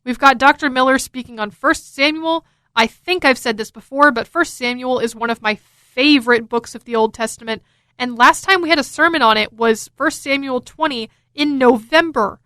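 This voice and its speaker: A young woman with an American accent hosts radio in a high, bright voice. She chats casually and with plenty of enthusiasm. The sound is dry and close, straight from a studio microphone. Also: no echo. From Florida, United States. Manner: enthusiastic, chatty. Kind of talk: upbeat casual radio DJ talk.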